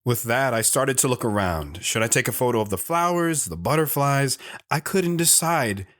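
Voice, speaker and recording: A man playing a shy, hesitant wanderer who narrates his own story in an audio drama, reflective in tone, with soft guitar underneath. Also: medium pitch, lively intonation, normal speed, natural voice. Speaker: narrator and guest.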